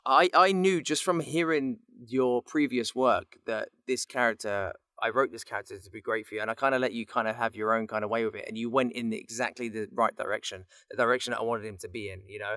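A man's voice: mid-range voice, brisk, few pauses, bright, forceful tone, no echo, clean studio sound. A man chatting casually and effusively as a podcast guest, rambling as he explains his work. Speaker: guest voice actor and writer. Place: small sound booth.

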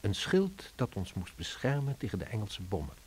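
A reflective Dutch man in hiding, recounts the occupation in a radio drama. His voice sits low, and a faint hiss runs under the old recording. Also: huge pitch swings, moderate pace. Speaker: narrator of a radio play. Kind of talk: scripted radio drama narration.

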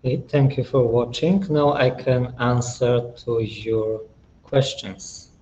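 A young man presenting a university in a webinar, speaking slowly with many fillers and restarts, from a small office over a webcam. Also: medium pitch, steady tone, mellow, slight background noise. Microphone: webcam on video call. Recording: dull lo-fi webcam audio.

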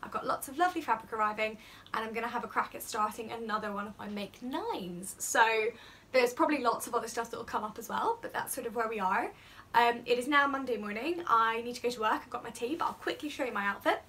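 A young woman chatting upbeat and fast in a casual sewing vlog, recorded on a camera at arm's length in a small room at home. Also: on the high side, expressive, pushed and bright. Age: young adult. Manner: chatty and upbeat, quick asides. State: croaky voice. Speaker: sewing vlogger. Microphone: phone or camera at arm's length.

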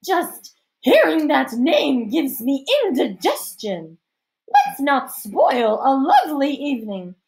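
A woman voicing a haughty, stern older lady in an audiobook, with a pompous, drawn-out, imperious delivery. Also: high voice, huge pitch swings, a little mellow, voice pushed up, heavily acted, rough recording. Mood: haughty, indignant. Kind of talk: audiobook character voice.

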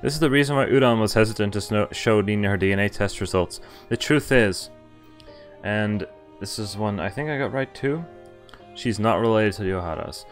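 A young man with a fairly low voice reads visual novel text aloud for a stream. He slips between narration and offhand commentary, with music playing softly behind him. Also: moderate pace. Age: young adult. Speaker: game streamer. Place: indoor room, webcam stream. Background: game music.

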